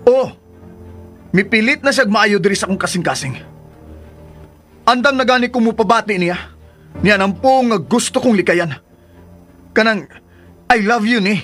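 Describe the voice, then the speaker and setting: An actor playing a troubled, defensive lover in a Filipino radio drama, speaking earnestly and emotionally. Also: male voice, high voice, normal speed, pauses often, fairly bright tone, soft background music. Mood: defensive, agitated. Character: troubled, defensive man torn between two loves.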